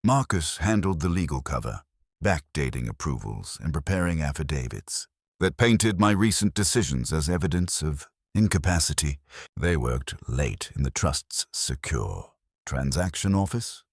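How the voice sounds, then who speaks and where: Man, very deep bass voice, animated intonation, scripted story narration, deliberate delivery. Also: no room sound, clean studio sound. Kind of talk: scripted dramatic first-person audiobook-style narration.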